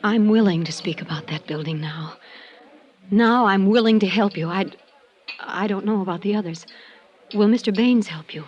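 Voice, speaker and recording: A woman acting an uneasy American small-town schoolteacher in a fifties radio drama. She is halting and earnest, with a troubled conscience, in a mid-range voice on a muffled, lo-fi old recording. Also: mid-range voice, darkish tone, natural voice. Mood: grave, earnest. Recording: dull lo-fi vintage radio recording.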